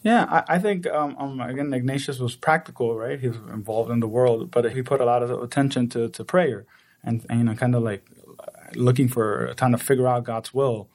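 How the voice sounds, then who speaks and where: A man's voice: medium pitch, brisk, darkish tone. A man from the Dominican Republic, an activist guest in an interview, speaking earnestly with frequent restarts and small laughs. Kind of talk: conversational interview.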